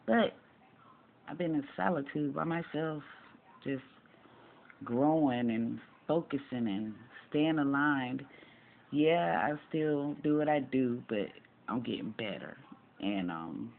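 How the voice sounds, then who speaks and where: A man's voice: mid-range voice, expressive, takes it slowly, creaky. A man in his forties chatting casually, blunt and informal, on a narrow phone-like recording. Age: 40s. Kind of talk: casual candid chat, reacting to a video. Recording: narrow phone-like sound with slight noise.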